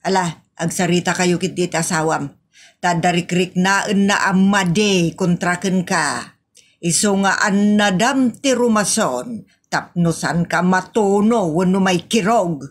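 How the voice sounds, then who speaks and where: A woman's voice: lowish voice, a little slow. A woman with a northern Philippine accent playing a suspicious, scolding older mother in a comedy audio drama.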